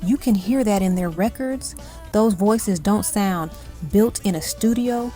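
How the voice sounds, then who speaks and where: A woman narrates a music countdown from a script. Her voice is mellow and sits at a medium pitch, and she lands short, punchy phrases with emphasis. Music plays faintly underneath. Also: unhurried.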